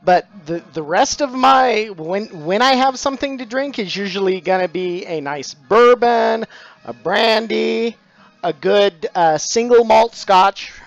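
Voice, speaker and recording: A man's voice: high-pitched, expressive, unhurried, vocal fry, muffled recording. A middle-aged man, chatting casually on a live stream about his craft, full of dad jokes and thanks to followers. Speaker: stained glass streamer.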